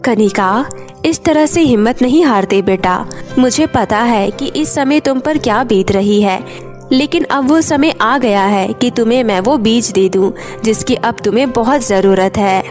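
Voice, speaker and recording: A woman voicing a gentle, reassuring spirit of a dead mother in a cartoon moral story. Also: a little high, a little slow, faint music behind, lightly acted. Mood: soothing, solemn. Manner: calm, reassuring.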